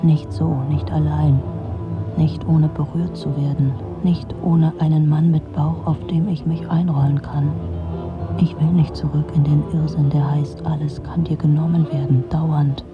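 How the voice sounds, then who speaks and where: A world-weary middle-aged woman tells her story in a radio play. Her voice is very low, dry and brooding, with a sardonic edge. The sound is slightly dull, with faint music behind her. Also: level tone, measured pace. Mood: bitter, despairing. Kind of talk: literary radio drama monologue.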